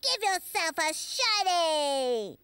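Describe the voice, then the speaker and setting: A woman's voice: very high voice, sing-song, slow, full character voice. A woman voicing a cheerful, encouraging young tiger puppet who hosts a children's quiz show. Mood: announcing, cheerful. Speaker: quiz host.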